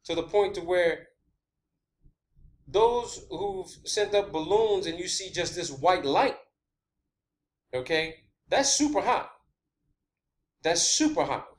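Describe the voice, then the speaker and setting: A man with a somewhat high voice talks through his ideas as a video host. His delivery is informal and halting, circling back to repeat words for emphasis, with a blunt edge. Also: expressive. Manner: blunt, swearing. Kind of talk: informal theorizing monologue.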